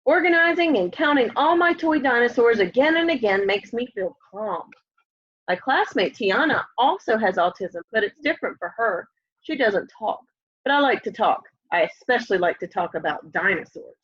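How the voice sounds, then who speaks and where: High-voiced young woman reading aloud, muffled audio. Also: moderate pace, breathy edge. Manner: expressive, addresses young listeners with questions. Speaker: storybook reader. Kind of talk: children's read-aloud. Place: room at home, indoors. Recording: dull, lo-fi home webcam audio.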